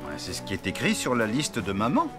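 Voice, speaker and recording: A man's voice: on the low side, wildly swooping pitch, fairly quick. A man voicing an easygoing but easily flustered middle-aged elephant father in a children's cartoon dub. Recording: loud music behind the voice.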